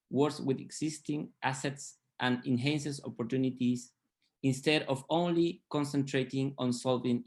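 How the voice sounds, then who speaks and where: A man moderating a webinar who reads out a guest's introduction haltingly, stumbling over some words, over a video call. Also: mid-range voice. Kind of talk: reading a guest bio.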